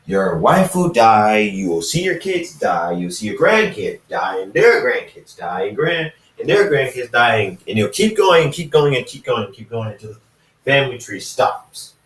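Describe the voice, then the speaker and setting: A man's voice: mid-range voice, sing-song, normal speed, a bit bright. A man voicing a blunt, probing, ancient immortal companion in a story, emphatic and repetitive. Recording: roomy echo, distant microphone.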